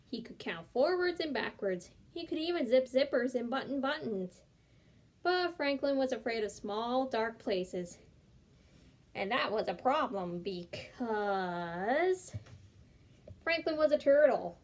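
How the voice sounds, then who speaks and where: Young woman storyteller, gentle, expressive, fairly high voice. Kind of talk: reading a picture book aloud.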